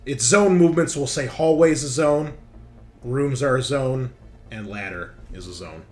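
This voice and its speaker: A man's voice: mid-range voice, moderate pace, a little mellow. A man acting as game master in a tabletop roleplaying game, narrating action and explaining the rules. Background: background music.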